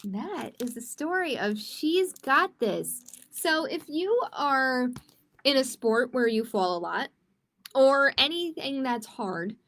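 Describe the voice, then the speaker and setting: A woman's voice: high voice, animated, takes it slowly, fairly bright tone. A woman hosting a library storytime, reading picture books aloud to children and explaining simply and encouragingly.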